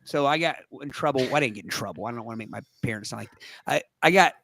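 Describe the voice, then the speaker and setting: A man co-hosting a casual podcast, with a lingering cough. He rambles through stories in a mid-pitched voice. The mic is close and dry. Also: animated, racing, vocal fry, fairly bright tone, no echo. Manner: chatty storyteller, rambling restarts. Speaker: podcast co-host.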